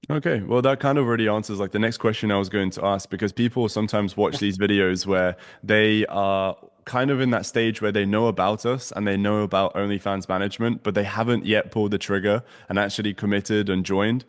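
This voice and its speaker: Upbeat male host with a fairly low voice, long reverb around him. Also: fairly even intonation, brisk, few pauses. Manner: upbeat, promotional, long-winded questions. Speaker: host, agency mentor. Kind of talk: casual interview.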